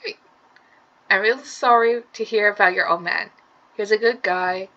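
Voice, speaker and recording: A woman's voice: medium pitch, fairly even intonation, normal speed, sharp tone, highs cut off. Friendly down-to-earth farm neighbour, folksy and plain, read by a woman.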